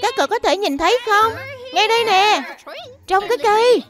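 Very high-pitched little bear cub. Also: female voice, lively intonation, moderate pace, a bit bright. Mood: excited. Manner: eager, exclamatory, childlike. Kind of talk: children's cartoon dub. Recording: background music under dialogue.

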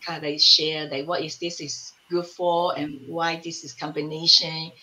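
A woman's voice: low voice, flat delivery, measured pace, a little hiss or hum, low-quality recording. A woman in her sixties chatting on a video call from a home room, searching for words as she tells stories. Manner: warm storytelling, searching for words. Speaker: community elder, collective member. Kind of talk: casual panel chat on video call. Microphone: laptop mic on video call.

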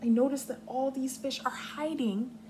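A woman's voice: sits high, steady tone, normal speed, darkish tone. A young adult woman with an American accent reads aloud and explains simply to small children, like a kindergarten teacher. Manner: instructive, simple wording with clear explanations. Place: living room on a couch, indoors. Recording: clearly noisy home recording.

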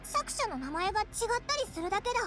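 Tiny game fairy mascot, super high kiddie squeak, blunt and excitable, really animated. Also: female voice, quick pace, soft background music, full character voice. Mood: curious. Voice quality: squeaky, childlike. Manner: blunt, rough boyish phrasing. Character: tiny floating fairy-like companion, childlike, excitable. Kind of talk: Japanese game voice acting.

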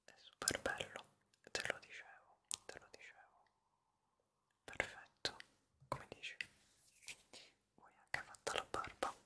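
A man whispers soothingly and breathily in an ASMR barber roleplay, reassuring and softly repeating words, close to the microphone. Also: takes it slowly, pauses often. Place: small dim room indoors. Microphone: close studio microphone in front of him.

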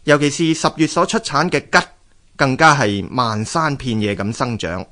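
A man with a Hong Kong Cantonese accent narrates scripted legends and history as a radio storyteller, in an expressive, measured way. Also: mid-range voice.

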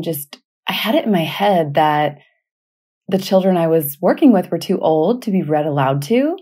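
Female podcast host, teacher type: mellow, breathy-husky tone, casual, chatty delivery. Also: on the low side. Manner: informal, anecdotal, conversational. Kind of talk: casual spoken podcast talk.

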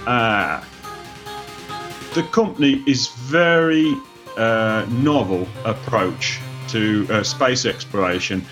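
British man, halting podcast talk. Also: on the low side, a bit bright, faint music behind. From United Kingdom. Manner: hesitant, lots of "uh" fillers. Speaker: author and panelist. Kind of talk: casual podcast discussion.

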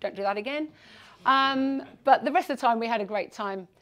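A woman's voice: fairly high pitch, brisk, a bit bright. A woman in her forties from England with a West Country accent, giving a self-deprecating, jokey presentation to an audience in a meeting room. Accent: West Country English. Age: 40s. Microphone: far camera.

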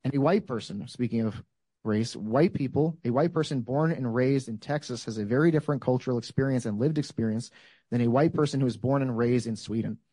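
A man's voice: mid-range voice, brisk, dark tone, no room sound, poor audio. A man in his thirties speaking at a panel discussion, making his case step by step with examples and frequent fillers. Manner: thoughtful, builds arguments with examples. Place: lecture room, standing. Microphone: handheld microphone.

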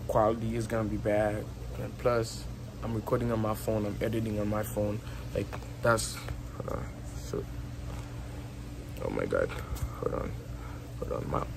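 A man's voice: fairly low pitch, unhurried, creaky, pauses often. A young man with a strong Haitian accent, chatting casually in a rambling, repetitive way, filming himself outdoors on a phone held at arm's length. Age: young adult. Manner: rambling, repetitive, filler-heavy talk with laughs. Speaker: YouTuber. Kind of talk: casual vlog chat. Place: outdoors on a patio by a house wall. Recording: phone recording with background noise.

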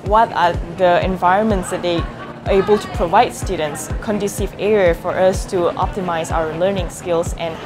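A woman's voice: on the low side, steady tone, moderate pace. A young woman student from Malaysia with a Malaysian accent, giving a promotional interview testimonial over music. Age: young adult. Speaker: university student. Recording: music behind the voice.

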